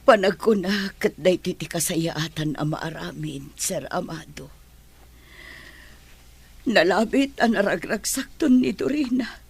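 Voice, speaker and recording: A woman's voice: on the low side, animated, unhurried, gravelly, husky, full character voice. A woman acting a caring, apologetic, resigned household nanny in an Ilocano radio drama.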